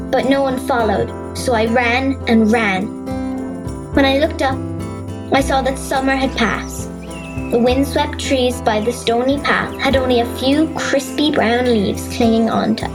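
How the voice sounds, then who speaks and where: A woman playing a curious, excitable young Irish girl, acting and narrating her adventure in a wide-eyed, expressive way for an audio drama. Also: sits high. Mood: forlorn. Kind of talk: audio drama acting and first-person storytelling. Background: music.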